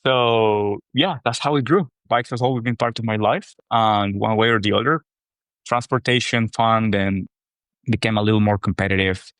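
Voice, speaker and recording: A man in his thirties from Costa Rica, a brand co-founder talking about himself in a relaxed, rambling way. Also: fairly low pitch, moderate pace, smooth, no room sound. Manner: relaxed, rambling, with fillers and restarts. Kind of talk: casual interview talk.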